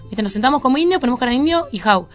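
Argentine female interviewee, Buenos Aires area, higher register, loose colloquial delivery with rambling sentences, light music underneath. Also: lively intonation, very fast. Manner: rambling, colloquial, peppered with tag words. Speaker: band member, preschool teacher. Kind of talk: casual radio interview chat. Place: radio studio.

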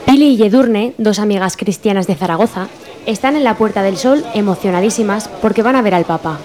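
A woman's voice: medium pitch, fairly quick. A woman from narrating a scripted radio drama. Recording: narration over crowd noise.

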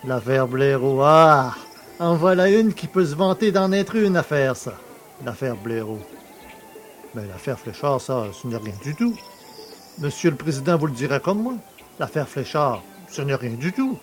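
An actor playing a jovial, boastful country poacher in a French audio drama, chummy, cheeky and colloquial. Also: male voice, medium pitch, expressive, measured pace, natural voice. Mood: cheerful, familiar. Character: jovial, boastful, familiar rural poacher just freed.

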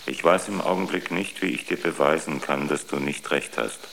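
A man playing a calm ship's computer in a radio drama, speaking in a measured, emotionless and formal way. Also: extremely low pitch, creaky, heavily acted. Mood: calm, detached. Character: ship's computer, calm male machine intelligence. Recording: echoey long reverb, noisy background.

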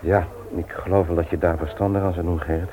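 An actor playing a busy, sociable brother in a radio play, talking in a brisk, frank, conversational way. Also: male voice, low voice, flat delivery, natural voice. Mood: frank, concerned. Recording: tinny, noisy old radio recording.

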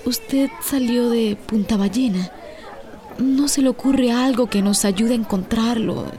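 A woman's voice: a little high, a little slow, warm tone, a faint crowd, natural voice. A woman playing an exhausted, worried young wife in a radio drama, subdued and searching. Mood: weary, worried.

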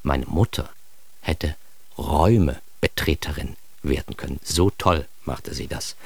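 Deep-voiced ironic male storyteller. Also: a little slow, creaky, breathy edge, no room sound, exaggerated voice. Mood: wry admiration. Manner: wry, mock-grandiose, emphatic storytelling. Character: Klaus, ironic self-important man narrating his childhood. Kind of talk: scripted first-person radio drama narration.

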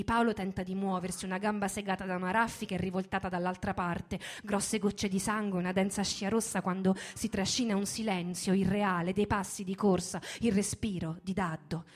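A woman giving a theatrical literary reading, solemn and deliberate, in short measured fragments. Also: mid-range voice, fairly even intonation. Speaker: stage performer and narrator. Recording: music bed under the voice. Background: guitar music.

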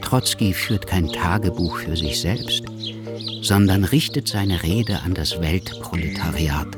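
An actor playing a melancholy Cuban writer, narrating a radio drama in a reflective storytelling manner. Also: male voice, deep voice, unhurried, over loud music. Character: melancholy Cuban writer telling his tale, reflective man.

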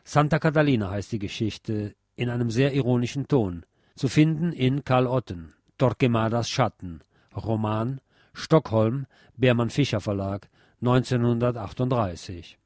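A man with a mid-pitched voice presents and reads literary prose for a radio series. His delivery is measured and deliberate, with a declamatory touch. The recording is very dry and close. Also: a little mellow, dry recording. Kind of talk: scripted literary reading and narration.

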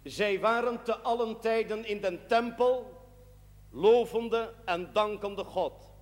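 Pompous Dutch parish priest in a period TV drama: high voice, slow solemn preaching, long church reverb. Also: male voice. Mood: solemn, admonishing. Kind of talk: sermon.

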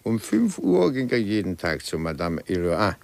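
An older male actor playing a methodical retired police inspector in a 1950s German radio drama, gravelly and emphatic, with dry amusement. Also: low voice, sing-song, moderate pace, darkish tone, dry recording, exaggerated voice. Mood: dryly amused.

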